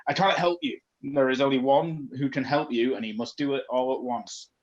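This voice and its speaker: A man's voice: mid-range voice, fairly even intonation, moderate pace, lo-fi sound, lightly acted. A man voicing a grave ghost in a comedy story, with a strained, throaty voice, giving dire warnings. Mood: ominous.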